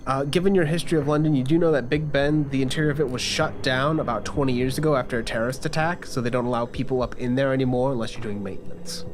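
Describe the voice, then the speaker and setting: A man's voice: medium pitch, fast, few pauses, slight background noise. A man narrating a tabletop game as game master in a conversational, descriptive way.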